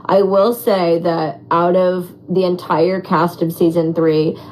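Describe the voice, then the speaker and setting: A raspy young woman answering fan questions in a casual, animated chat, full of "like" and "um". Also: on the low side, takes it slowly, a little hiss or hum, low-quality recording. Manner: animated, chatty, heavy on fillers like "like" and "um". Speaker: former reality show contestant.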